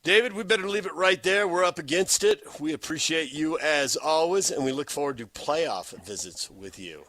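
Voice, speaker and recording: Bright, animated male radio host. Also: on the high side, moderate pace, dry recording. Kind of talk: friendly radio banter and host sign-off.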